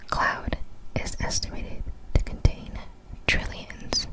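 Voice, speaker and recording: A woman whispering ASMR narration of facts in a breathy, fully unvoiced whisper, with careful, deliberate phrasing. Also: low-quality recording. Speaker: ASMR creator.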